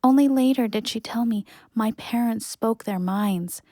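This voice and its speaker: A young woman playing a grieving imprisoned student in an audio play, quietly telling her story. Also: a little high, a little slow, dry recording, studio quality, lightly acted. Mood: wistful, grieving. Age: young adult.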